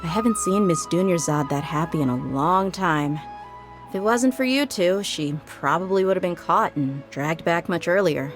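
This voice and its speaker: A woman acts a young female bodyguard in a video game, her voice on the low side. She talks in a blunt, casual, no-nonsense way with a good heart underneath, over background music. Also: lively intonation, moderate pace, natural voice. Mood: apologetic, grateful. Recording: music behind the voice.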